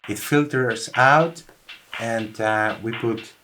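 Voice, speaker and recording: A man's voice: mid-range voice, slow. A man music producer casually walking through how a track was made. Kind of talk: casual studio walkthrough.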